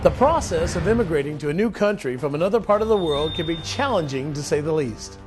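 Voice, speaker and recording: A man reading scripted narration for a program, expressive and lilting. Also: a little high, a little fast, music in the background.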